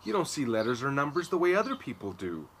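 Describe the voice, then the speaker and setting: A kind male schoolteacher from a storybook, voiced by a man reading aloud. He is gentle, earnest and encouraging, stressing his words for a child. His pitch is middling, and outdoor noise with birds sits behind him. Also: very animated, fast talker. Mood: reassuring, determined.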